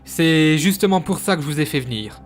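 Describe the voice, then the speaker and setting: Doctor for audio drama, played by a man: fairly high male voice, serious, careful, faint music underneath. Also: expressive, close to an everyday voice. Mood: serious, concerned. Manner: explanatory, measured, slightly hesitant. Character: serious, careful hospital doctor giving difficult news. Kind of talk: French audio drama acting.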